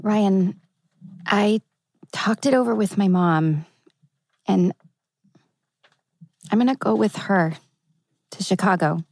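A woman plays a torn, earnest teenage girl in a drama table read. The voice is mellow and creaky, of middling pitch, and recorded dry. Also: takes it slowly, pauses often, dry recording. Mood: sad, earnest. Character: torn, tender teenage girl saying goodbye.

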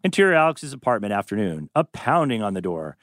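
A man's voice: lowish voice, very animated, quick pace, fairly bright tone, no room sound. A man reading scripted stage directions as narrator at a table read.

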